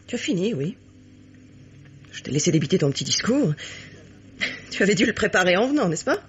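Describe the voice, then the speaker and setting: An actress playing a cool, self-possessed mistress in a French radio mystery, patronizing and insinuating. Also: female voice, fairly low pitch, very animated, brisk. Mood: condescending. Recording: dull, noisy vintage radio recording.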